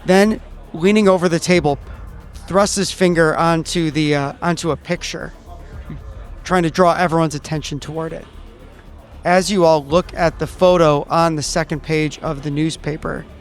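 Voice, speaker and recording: Halting higher-voiced man improvising a story. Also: steady tone, soft background music. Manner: halting, stammering delivery. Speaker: player in a tabletop game podcast. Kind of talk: improvised storytelling, acting out a character.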